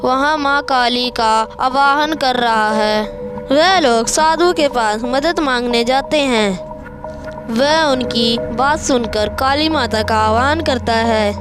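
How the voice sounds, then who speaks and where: A woman's voice: on the high side, takes it slowly. A woman narrator reading a scripted horror story. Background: music.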